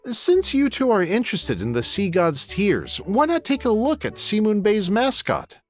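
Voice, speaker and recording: An actor playing a courteous, eager local vendor, giving polite storytelling sales patter in a video game cutscene. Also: male voice, sits high, huge pitch swings, moderate pace. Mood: courteous, persuasive.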